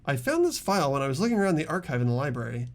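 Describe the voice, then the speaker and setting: A man playing an animated, puzzled teenage boy. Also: on the high side, very fast. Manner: thoughtful, tentative. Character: earnest, puzzled teenage boy student detective. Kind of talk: amateur game dub, dramatic reading.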